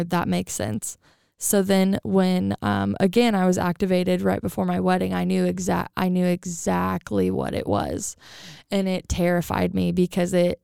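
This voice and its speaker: A woman with a raspy voice, chatting casually as a podcast co-host and rambling with lots of fillers. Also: on the low side, mellow, dry recording, clean studio sound. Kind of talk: casual chat.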